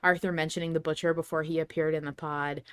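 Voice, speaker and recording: A woman hosting a casual fan discussion podcast, theorizing in an animated way with some swearing. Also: low-pitched, quick pace, smooth, dry recording, studio quality. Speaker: podcast host.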